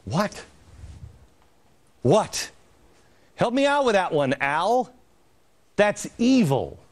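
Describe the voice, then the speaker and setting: Male TV host, 40s, sarcastic, indignant, lively pitch, studio. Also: extremely slow. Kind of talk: opinion TV commentary. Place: large TV studio set. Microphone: studio mic, standing at camera.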